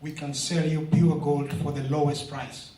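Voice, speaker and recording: A man's voice: fairly high pitch, level tone, moderate pace, dark tone, echoey room, distant mic, soft background music, lightly acted. A man reading out the lines of a pushy young street seller offering gold. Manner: insistent sales patter.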